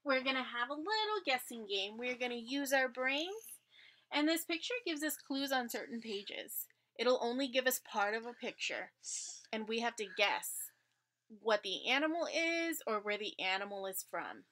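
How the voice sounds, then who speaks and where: Bright, high-voiced woman reading to children. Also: animated, moderate pace. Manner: prompting with questions and wondering aloud. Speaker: teacher reading aloud to children. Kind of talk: interactive picture-book read-aloud for children. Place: indoors in front of a dark curtain.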